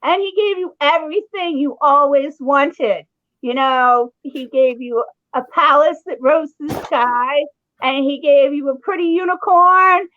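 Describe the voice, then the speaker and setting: Woman storyteller, very high voice, run-on dreamy telling; dry, dull recording. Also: unhurried, dry recording. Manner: dreamy, breathless run-on narration. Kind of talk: improvised silly storytelling.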